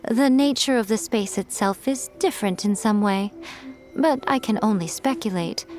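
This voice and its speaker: A woman voicing a sly, teasing spirit familiar in a video game, arch and unhurried. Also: medium pitch, natural voice. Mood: wry. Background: soft game music.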